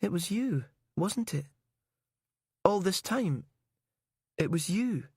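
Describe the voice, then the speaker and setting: A haunted woman held captive, performed by a male narrator, speaking in a raised, soft pitch. She is weary, subdued and matter-of-fact, a figure from a dark audiobook thriller. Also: animated, slow pace, slightly rough, no room sound, clean studio sound, exaggerated voice. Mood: dismayed. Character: weary captive woman, calm, haunted, talks to dead husband.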